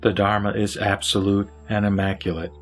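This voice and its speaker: A man's voice: fairly low pitch, flat delivery, normal speed, lightly acted. A man voicing Vimalakirti, a wise lay sage teaching in a solemn, earnest way over faint music.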